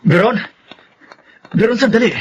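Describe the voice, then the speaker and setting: A man's voice: high-pitched, normal speed, soft background music, close to an everyday voice. An actor playing a devoted, pleading young man in love, earnest and melodramatic in a Filipino radio drama. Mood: ardent, pleading. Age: young adult. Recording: muffled audio with faint noise.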